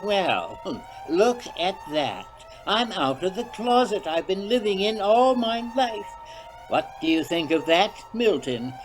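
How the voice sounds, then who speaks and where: Performer as a slow, eccentric, menacing agent. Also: medium pitch, big pitch swings, pitched above the performer's own voice, poor audio. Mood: gleeful, unhinged. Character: eccentric, menacing male government agent, triumphant and strange.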